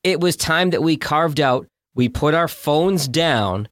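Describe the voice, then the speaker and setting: A man's voice: a little high, expressive, slow pace, fairly bright tone, dry recording, studio quality. A man in his forties co-hosting a podcast, chatting casually and telling personal stories in an upbeat way. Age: 40s. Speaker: podcast co-host. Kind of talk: casual chat.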